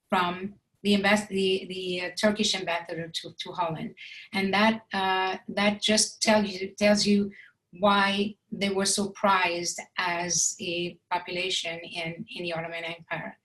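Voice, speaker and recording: An older woman from Egypt whose mother tongue is French, telling her family history in an interview, reflective and articulate, often pausing to correct herself. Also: mid-range voice, a bit bright, poor audio. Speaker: interview guest. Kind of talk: personal family history storytelling.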